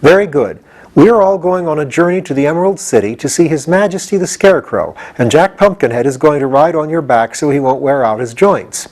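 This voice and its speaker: Man as a brisk, bossy storybook boy, pitched up. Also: expressive, a little mellow. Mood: instructing. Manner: brisk, instructing. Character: bossy, practical, reassuring young boy adventurer. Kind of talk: audiobook character voice.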